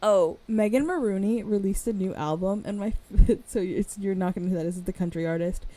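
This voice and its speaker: Raspy-voiced woman chatting casually. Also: medium pitch, brisk. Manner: rambling, chatty, animated storyteller. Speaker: podcast co-host. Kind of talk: casual chat.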